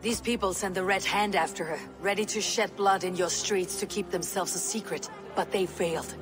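A commanding Viking warrior woman, played by a game actress. She speaks in measured, stern lines, blunt and loyal, at a middling pitch for a woman. Music plays behind her voice. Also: steady tone, natural voice. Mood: grave, resolute. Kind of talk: video game cutscene acting.

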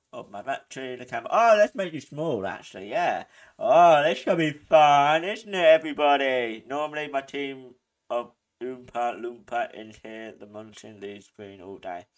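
A man's voice: medium pitch, lively intonation, measured pace, close to an everyday voice. A man voicing an eccentric candy factory owner who leads a tour, in a showman's guide manner, as he plays a game. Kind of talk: voicing a game character over gameplay.

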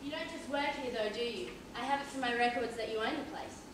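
A woman's voice: a little high, quick pace, natural voice. A woman acting a pompous, condescending male police inspector who interrogates people in an amateur stage play. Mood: probing, accusing. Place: large theatre stage, indoors. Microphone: far audience camera. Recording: distant echoey theatre stage, noisy.

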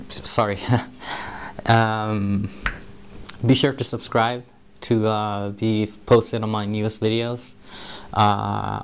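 A man's voice: fairly low pitch, clean tone. A young man whose first language is Norwegian, talking casually to camera in an office room, hesitant with many ums and uhs. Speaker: marketing vlogger.

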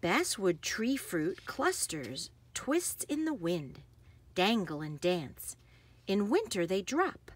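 A woman reads a children's picture book aloud expressively, with deliberate phrasing and emphasis on action words. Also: medium pitch, very animated, slightly rough. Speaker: read-aloud host.

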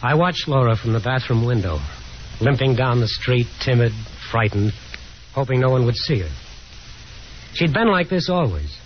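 A man's voice: mid-range voice, animated, normal speed, muffled recording, slight background noise, natural voice. An actor playing a brooding, sardonic, wistful young man in a 1950s radio drama. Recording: band-limited 1950s radio broadcast.

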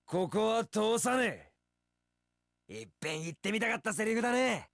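A man's voice: high voice, sing-song, moderate pace, vocal fry, fairly bright tone, no room sound, clean studio sound, full character voice. An actor playing a carefree young wandering swordsman in an action game, breezy, theatrical and swaggering, a playful romantic brawler. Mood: bold bravado. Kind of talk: Japanese action game voice acting.

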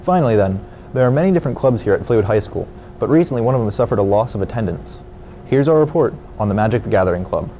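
A man's voice: on the low side, lively intonation, fast, highs cut off, a little hiss or hum. A teenage boy anchoring a school news broadcast, reading his script at the desk with a lapel mic. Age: teens. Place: school studio with virtual set.

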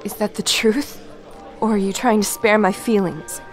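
An actress playing a grieving, determined daughter in an old-time radio noir drama, earnest and dramatic. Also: female voice, medium pitch, fairly even intonation, moderate pace, soft background music, natural voice. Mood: pleading, resolute. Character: grieving, determined daughter, female detective's client.

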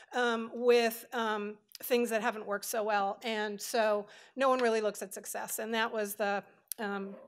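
A middle-aged American woman from Hawaii giving a conversational, story-driven lecture at an auditorium lectern, informal and digressive. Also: mid-range voice. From Hawaii, United States. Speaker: professor, panel speaker.

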